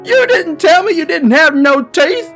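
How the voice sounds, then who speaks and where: A man voicing an excitable, nervous young country fellow, yelling and overwrought, in a comic story. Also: very high-pitched, measured pace, faint music behind, pitched above the performer's own voice, heavily acted. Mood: distraught.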